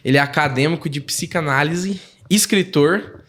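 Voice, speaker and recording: A man co-hosting a church podcast, quick with jokes as he introduces the guest. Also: a little high, fairly bright tone. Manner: quick-witted, joking. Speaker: podcast co-host. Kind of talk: casual podcast chat.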